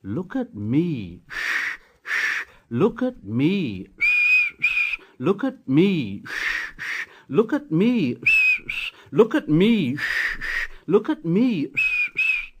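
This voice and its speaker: A man voicing an eager, hardworking little steam engine in a storybook, chanting slowly with puffing shh sounds between the words. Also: sits high, heavy background noise, pitched above the performer's own voice. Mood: chugging cheerful. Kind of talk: storybook reading.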